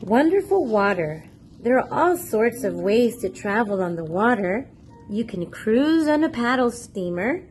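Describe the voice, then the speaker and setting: A woman reads a picture book aloud for small children at a slow, measured pace, with a pitch that rises and falls in lively swings. She speaks expressively and turns to her young listeners with questions. Music plays behind her voice. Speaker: children's read-aloud storyteller and channel host.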